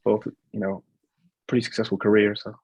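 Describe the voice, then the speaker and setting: A man's voice: lowish voice, flat delivery, a little fast, a little mellow, slightly rough. A man moderating a discussion panel, affirming the speakers with frequent mm-hmms.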